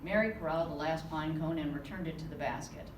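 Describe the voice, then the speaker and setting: A woman author reading aloud from her novel to a live audience, giving the dialogue a lively touch. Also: low voice, quick pace. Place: conference room. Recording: roomy, distant with background noise.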